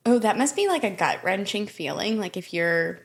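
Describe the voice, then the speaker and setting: A woman's voice: mid-range voice, lively intonation, normal speed, creaky. A woman co-hosting a podcast in casual banter, asking questions and joking in informal, slangy language.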